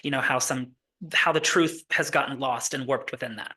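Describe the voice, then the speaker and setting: A playwright guest in a casual interview, talking in loose, rambling sentences. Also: male voice, medium pitch, normal speed, bright, forceful tone. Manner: rambling, many fillers and restarts.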